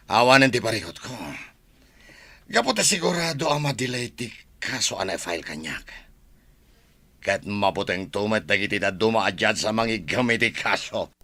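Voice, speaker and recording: An actor with an Ilocano accent playing a boastful, villainous provincial governor in a radio drama, gravelly and pompous. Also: male voice, fairly low pitch, sing-song, normal speed, long pauses, sharp tone, no room sound, heavily acted. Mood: smug.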